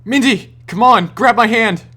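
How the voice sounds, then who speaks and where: A man's voice: very high-pitched, moderate pace, pushed and bright, heavily acted. Man acting a loyal young friend in peril. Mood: urgent. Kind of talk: amateur audio drama acting.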